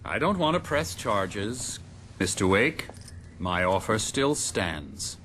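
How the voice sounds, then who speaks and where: A polished, self-assured doctor in a game drama. His voice is bright and moves widely in pitch, with courteous formality. There is clear noise behind him. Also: very animated, slow, exaggerated voice. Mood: unruffled. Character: smooth, formal male doctor, self-assured clinic director.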